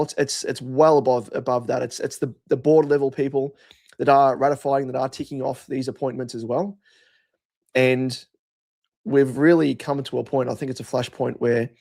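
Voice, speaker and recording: An Australian man with a croaky voice, hosting a fan show and giving casual, rambling post-match commentary with hesitant pauses and repeated phrases. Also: mid-range voice, a little mellow. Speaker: host of a football fan show.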